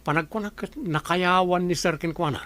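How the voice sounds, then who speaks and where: A man with an Ilocano Filipino accent playing a respectful, amused assistant in a comedy radio drama, deferential and gently teasing. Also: high voice, normal speed. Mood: evasive.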